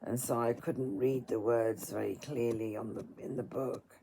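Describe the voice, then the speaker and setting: A tired woman with a hoarse, dry throat, speaking to listeners in a halting, politely formal way as a storyteller. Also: very deep voice, steady tone, noisy background. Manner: halting, apologetic, formal wording. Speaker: storyteller reading aloud and singing. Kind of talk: informal apologetic talk to listeners.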